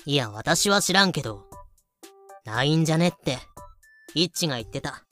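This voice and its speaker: A woman's voice: sits low, animated, normal speed, a bit bright, soft background music. A woman voicing a frank, knowing lady who explains things drily in an amateur voice drama. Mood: matter-of-fact.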